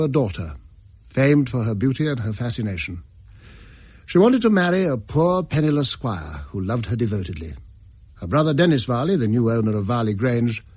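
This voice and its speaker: Grave period gentleman for audio drama: husky, formal, measured delivery with lively pitch swings. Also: no echo. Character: grave upper-class gentleman brother recounting a dark legend.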